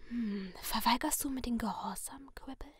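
A woman voicing a sinister masked male killer in a slow, hushed, toying whisper. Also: fairly high pitch, husky, no room sound, studio quality. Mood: menacing whisper.

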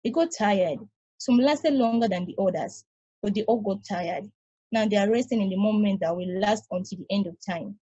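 A weary ancient space probe, read by a woman, talks with calm, patient reasoning. The voice is mellow and slightly husky. It sounds dry and close, like a video call. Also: medium pitch, normal speed, no echo, dull audio, lightly acted. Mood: reflective. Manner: calm, reasoning, explaining at length.

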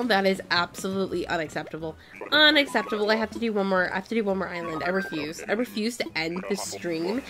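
A woman streaming a game, chatting casually, playfully dramatic and pleading, her voice going hoarse. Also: medium pitch. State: losing her voice. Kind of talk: casual gameplay commentary. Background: game music.

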